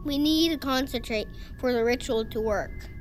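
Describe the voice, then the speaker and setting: A woman's voice: high voice, normal speed, music in the background, lightly acted. A woman playing a coaxing, sinister female entity in an audio drama, soothing, persuasive and commanding as she leads a ritual. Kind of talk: immersive audio drama acting.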